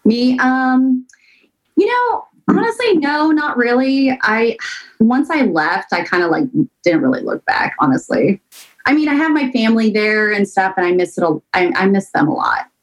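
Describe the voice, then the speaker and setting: A woman's voice: a little high, big pitch swings, normal speed, rough recording. An American woman from the Seattle area chatting casually as a guest, rambling and hedging as she goes. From suburb of Seattle, US. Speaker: band singer and guitarist, interview guest. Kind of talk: casual chat.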